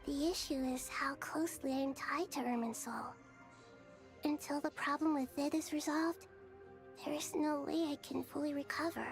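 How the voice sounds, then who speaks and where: A woman playing a small, earnest young goddess in a video game, speaking in a measured, formal and solemn way. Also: sits high, steady tone. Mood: grave, resolute. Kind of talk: scripted video game dialogue. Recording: game music behind the voice.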